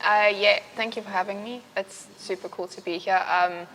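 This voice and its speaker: A young woman from South Africa with a South African accent, a university student answering interview questions in a relaxed, chatty way with many fillers. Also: mid-range voice, moderate pace, a little hiss or hum, rough recording. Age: young adult.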